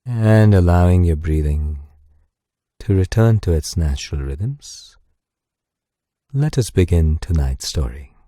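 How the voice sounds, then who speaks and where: Very dark, mellow man narrating sleep meditation. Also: low voice, animated, no room sound, clean studio sound. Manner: soothing, gentle, unhurried. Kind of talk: slow guided meditation and bedtime story narration. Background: soft music.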